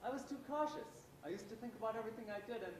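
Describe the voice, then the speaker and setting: A man's voice: high-pitched, very fast, natural voice. A man playing a cautious, sardonic teenage pessimist in an amateur stage play, with a dry delivery. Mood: rueful. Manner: dry, sarcastic. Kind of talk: amateur one-act stage play acting. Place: auditorium stage, miked from far off. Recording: echoey distant stage recording with noise.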